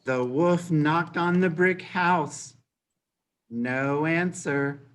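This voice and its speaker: Male reader's theater narrator, somewhat high voice, unhurried reading. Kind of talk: scripted reader's theater storytelling.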